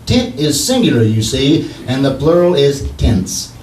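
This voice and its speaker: A man's voice: mid-range voice, very animated, a little slow, darkish tone. A man acting a cocky, folksy husband who mangles his grammar, in a 1940s radio comedy style. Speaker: actor in a stage radio-play revival. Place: live theater stage with audience. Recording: roomy far-off stage recording, faint hiss.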